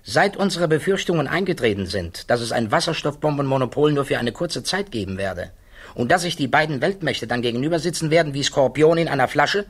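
Husky, troubled physicist under questioning. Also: male voice, mid-range voice, lively intonation. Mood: grave, unsettled. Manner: measured, precise, guarded answers. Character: embattled male physicist testifying at a security hearing, thoughtful, troubled. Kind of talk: 1960s German radio play acting.

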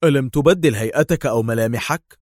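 A man's voice: mid-range voice, fast, darkish tone, no echo, studio quality, lightly acted. A man playing a hot-tempered, suspicious agent in a spy story, nervous, indignant and argumentative. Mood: incredulous. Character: hot-tempered, suspicious male foreign agent, easily outraged.

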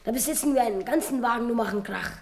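A woman's voice: fairly high pitch, quick pace, a little mellow, lightly acted. A woman playing a cheerful, boisterous schoolboy with a big appetite in a 1950s radio play. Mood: chummy. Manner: brash, chummy, boisterous. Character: cheerful hearty hungry schoolboy. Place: radio studio.

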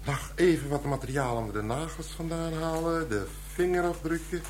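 A man's voice: on the high side, moderate pace, a little hiss or hum, natural voice. A man playing an easygoing, sceptical forensic policeman in a Dutch radio crime drama, bantering as he talks. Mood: wry. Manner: lively, bantering.

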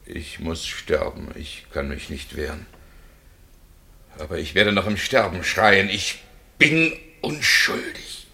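A man's voice: low voice, big pitch swings, takes it slowly, vocal fry, bright, forceful tone, heavily acted. An actor playing a desperate condemned man who pleads his innocence with theatrical passion in a radio play. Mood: fearful, subdued. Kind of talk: 1960 German radio drama acting.

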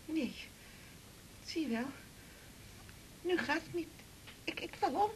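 A woman's voice: high voice, expressive, slow, a little hiss or hum. An actress playing a timid, devout married woman at the doctor's in a radio drama, anxious, hesitant and half-whispering. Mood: distressed. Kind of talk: naturalistic 1980s radio drama acting.

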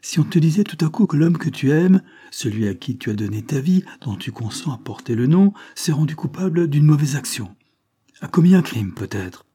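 A man's voice: medium pitch, animated, moderate pace, mellow, husky. A man voicing an agitated man confessing a crime to his lover, exalted and dramatic, as in an audiobook. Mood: feverish, exalted. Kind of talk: dramatic audiobook character reading.

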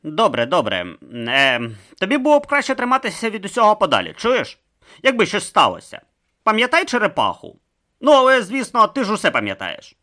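A man voicing an eager, hurried young male rebel in a storybook reading. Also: high voice, huge pitch swings, sharp tone, pitched above the performer's own voice, full character voice. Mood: urgent.

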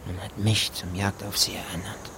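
A noisy recording carries a low-pitched country gamekeeper in a radio play. He is gruff and evasive, answering in clipped, offhand everyday phrases. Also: little pitch movement, normal speed. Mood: blunt, callous. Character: gruff, evasive rural gamekeeper, son of a poacher. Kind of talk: German radio drama acting.

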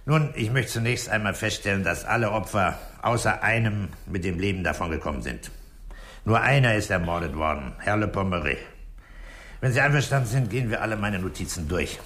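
A calm bossy detective, low voice, short firm sentences, old radio play. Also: male voice. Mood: deliberate. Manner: terse, commanding, unhurried, deliberate. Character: calm, commanding, authoritative police inspector. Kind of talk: 1960s German radio drama acting.

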